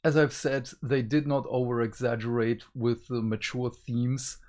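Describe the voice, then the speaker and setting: A man gives his thoughts in a casual review chat as a co-host. His delivery is slow and takes its time, at an average male pitch. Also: level tone, a little mellow.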